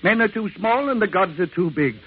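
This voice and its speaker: A man's voice: high voice, lively intonation, a little fast, breathy edge. A man acting in an old radio drama as a drunken ex-bootlegger who rambles in flowery, theatrical, grandiloquent speech. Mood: grandiose lamenting. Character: florid drunken male ex-bootlegger, theatrical and philosophizing. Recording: tinny, band-limited vintage radio recording.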